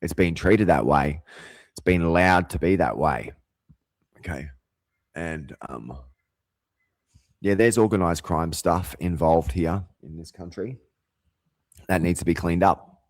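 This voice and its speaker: Deep-voiced man ranting. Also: unhurried, pauses often, no echo. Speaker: vlogger. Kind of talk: rambling monologue.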